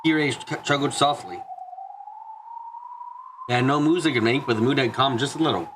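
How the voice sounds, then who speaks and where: A man's voice: mid-range voice, normal speed, music in the background. A man reading a story aloud as an amateur narrator, stumbling over lines and correcting himself. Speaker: streamer reading a visual novel aloud in translation.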